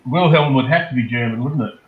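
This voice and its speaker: A man's voice: mid-range voice, fast, echoey room. Man on tinny call audio. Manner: matter-of-fact, dry jokes. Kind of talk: casual chat.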